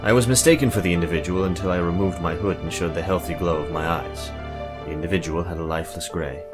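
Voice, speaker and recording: A man playing an earnest, awkward young mage in a visual novel, explaining things in a formal, measured way. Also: deep voice, fairly even intonation, natural voice. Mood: grave. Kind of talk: visual novel character acting. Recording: music bed behind the voice.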